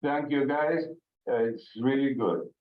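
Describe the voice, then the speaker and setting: A man's voice: mid-range voice, unhurried. Argentine-accented man on tinny audio. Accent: Argentine Spanish. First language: Spanish. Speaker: psychiatrist. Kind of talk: self-introduction on a video call. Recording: phone-like audio.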